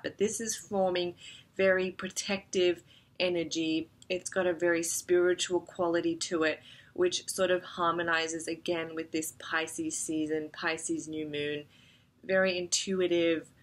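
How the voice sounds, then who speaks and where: A woman hosting a weekly astrology forecast, sounding nasal from a cold, talking in a gentle, rambling way with many fillers. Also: fairly low pitch. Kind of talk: casual spiritual forecast talk.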